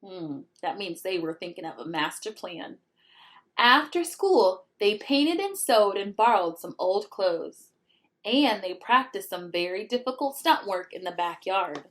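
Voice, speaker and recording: A woman reading a picture book aloud to young children in an expressive way, pausing to ask the listeners questions. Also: mid-range voice. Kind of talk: animated children's read-aloud with asides to the listeners.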